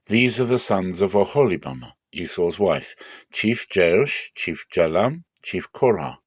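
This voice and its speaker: A man reading scripture aloud dramatically, in measured and deliberate phrases with clear pauses. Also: low-pitched, big pitch swings, no echo, clean studio sound. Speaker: Bible reader.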